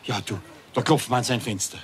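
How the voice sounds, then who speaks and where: An actor playing a concerned older husband with a southern German accent, colloquial and insistent, in a radio play. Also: male voice, mid-range voice, a little fast, natural voice. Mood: puzzled, insistent.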